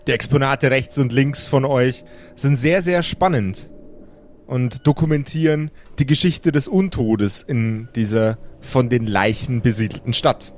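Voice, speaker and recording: A man's voice: medium pitch, measured pace, darkish tone, soft background music. A man narrating an improvised tabletop adventure as game master.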